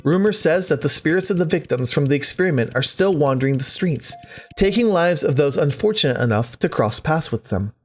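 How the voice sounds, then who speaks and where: A man's voice: fairly high pitch, expressive, brisk, soft background music. A man hosting a let's play, reading visual novel text aloud expressively with casual commentary. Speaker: gaming video host.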